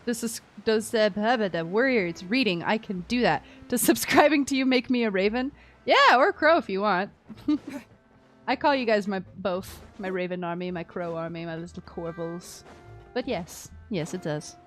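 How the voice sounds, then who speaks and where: A woman livestreaming a game, chatting casually in a playful, teasing, giggly and expressive way. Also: mid-range voice, normal speed, soft background music. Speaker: livestream host. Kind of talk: casual game-stream chat.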